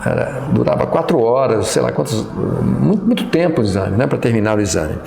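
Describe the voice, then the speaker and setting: A man's voice: mid-range voice, expressive, fairly quick, gravelly, a little mellow, echoey room, slight background noise. A middle-aged man with a Brazilian Portuguese accent, a pastor and professor recalling his past in an interview, talking hesitantly with many fillers and restarts. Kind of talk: reminiscing interview.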